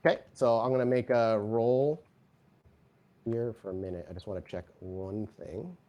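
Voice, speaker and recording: A man's voice: lowish voice, steady tone, moderate pace, dull audio, a little hiss or hum. A man working as game master, improvising vivid narration and explaining rules in a conversational way.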